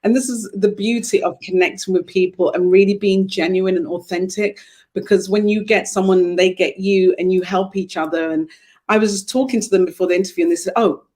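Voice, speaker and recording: Effusive middle-aged American woman host on a video call, warm and expressive talk. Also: medium pitch, a little fast. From United States. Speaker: interview host. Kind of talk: casual interview chat. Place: home room, on a video call.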